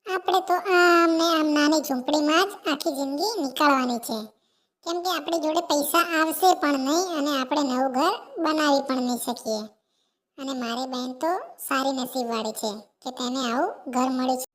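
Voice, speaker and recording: A woman voicing a chirpy, very high-pitched little sparrow. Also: steady tone, moderate pace, a bit bright. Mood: wistful. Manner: gushing, repetitive exclamations. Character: cheerful, eager, chatty female sparrow visiting her sister. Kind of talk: Gujarati animated moral story dialogue.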